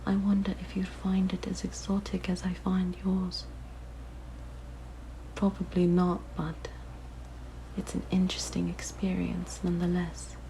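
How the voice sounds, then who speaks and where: A woman voicing a possessive, curious siren monster in an ASMR roleplay, speaking in a soft, breathy whisper with a gentle, coaxing manner. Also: lowish voice, monotone, unhurried, a little hiss or hum, rough recording. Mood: enchanted, coaxing. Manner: gentle, wistful, fascinated, coaxing. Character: possessive, curious young female siren monster, softly luring. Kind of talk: ASMR roleplay, intimate monologue.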